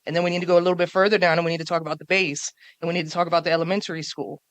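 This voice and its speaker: A woman speaking on a panel before a live audience in a small café, forceful and emphatic, stacking up points and repeating them for weight. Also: lowish voice, level tone, rapid-fire, dry recording. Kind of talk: panel talk.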